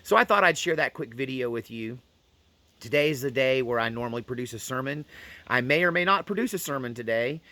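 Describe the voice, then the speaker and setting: A man's voice: mid-range voice, animated, fairly quick, bright, forceful tone. A man telling a family story in a casual, unscripted, folksy way, repeating phrases for emphasis. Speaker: storyteller and host. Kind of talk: casual first-person storytelling to camera.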